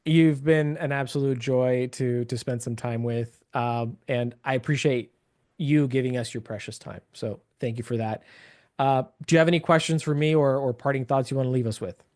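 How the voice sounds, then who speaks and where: A man's voice: medium pitch, moderate pace, a little mellow, no echo, studio quality. A man hosting a podcast interview, upbeat and effusive, thanking and praising his guest freely. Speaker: podcast host. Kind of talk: casual interview chat. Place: local area of Seattle.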